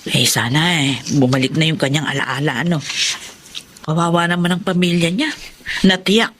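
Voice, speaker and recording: A caring middle-aged Filipino wife and mother in a radio drama. The voice is bright and forward, affectionate in manner, over faint background noise. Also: low-pitched, steady tone, moderate pace. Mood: warm, concerned.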